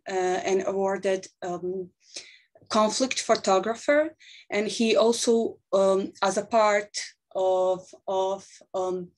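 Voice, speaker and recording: A Bosnian-accented woman in her thirties speaks English earnestly on a video call, halting now and then between phrases as she thinks aloud. Her pitch sits in the ordinary middle range for a woman. She is picked up by a webcam in a small book-lined office. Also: darkish tone. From Bosnia and Herzegovina. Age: 30s. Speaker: panel speaker. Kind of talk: webinar talk. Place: small office with shelves of books, indoors.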